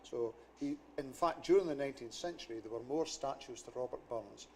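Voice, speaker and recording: A Scottish man with a gravelly, dark voice, talking through history and little-known facts as a studio guest. His delivery is explanatory and anecdotal. A faint hiss sits under the recording. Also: mid-range voice, brisk. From Scotland. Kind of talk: studio talk show interview.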